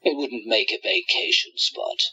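A man's voice: mid-range voice, huge pitch swings, unhurried, bright, forceful tone, breathy edge, lo-fi sound, exaggerated voice. A man playing a smug, scheming radio-play villain who gloats theatrically and plots revenge.

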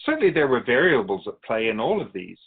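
A man playing an otherworldly keeper of souls in a radio drama, speaking in a measured, formal, philosophical way with patient wisdom. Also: medium pitch, sing-song, vocal fry, breathy edge, full character voice. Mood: solemn, explanatory. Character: otherworldly male keeper of souls, wise, patient, solemn messenger. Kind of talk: radio drama interview acting. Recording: dull lo-fi audio.

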